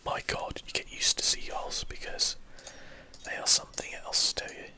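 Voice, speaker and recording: Southern English male, bright breathy whisper, relaxed chatty ASMR game host thinking aloud. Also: moderate pace. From southern England, UK. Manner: casual, chatty, musing aloud. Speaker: host of an ASMR gaming channel.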